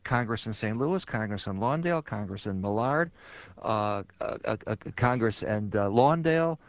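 A man's voice: fairly low pitch, expressive, moderate pace, no echo. An older man from Chicago, a guest sports writer, telling stories in conversation with many fillers and restarts. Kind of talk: conversational storytelling. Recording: dull lo-fi radio sound.

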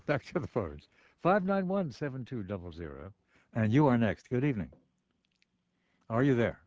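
A man hosting a radio call-in show, jocular and quick with asides. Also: mid-range voice, very animated, darkish tone, dry recording. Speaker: radio talk show host. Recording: slightly muffled radio broadcast.

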